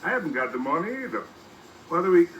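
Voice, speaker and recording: A man's voice: fairly high pitch, big pitch swings, quick pace, highs cut off. A man playing a jokey, penniless professor in 1940s radio comedy, with theatrical delivery aimed at punchlines. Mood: excited, clowning. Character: jokey, penniless professor who chuckles at his own gags. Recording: noisy, roomy old radio broadcast recording.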